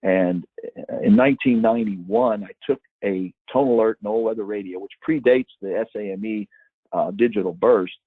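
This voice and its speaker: A middle-aged man with a husky voice giving an informal, conversational webinar talk as presenter, explaining things and reminiscing. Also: fairly low pitch, moderate pace, no echo. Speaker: webinar presenter, former broadcast meteorologist. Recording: muffled narrow phone-like line.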